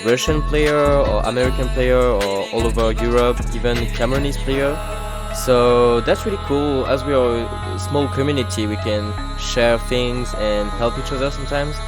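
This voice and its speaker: A man with a French accent chats casually about gaming in a medium-pitched voice. He is easygoing and informal, a native French speaker. Faint music plays behind him. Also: normal speed. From France. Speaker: gamer and video editor, featured guest.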